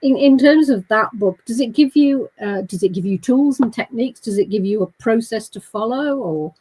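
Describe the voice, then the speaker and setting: A woman hosting a live online panel, friendly and brisk as she prompts her guests. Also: fairly high pitch, warm tone, breathy edge. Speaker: host. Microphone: video call.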